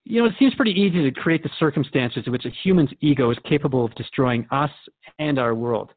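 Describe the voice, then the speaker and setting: A man's voice: medium pitch, fast, phone-quality sound, natural voice. A man playing a curious, respectful radio host in a radio drama, interviewing in an inquisitive, conversational way. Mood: curious, earnest.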